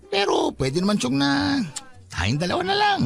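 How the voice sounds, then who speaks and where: A man's voice: very high-pitched, huge pitch swings, measured pace, vocal fry, soft background music, exaggerated voice. An actor playing a teasing young man, a devoted mama's boy, in Filipino radio drama style.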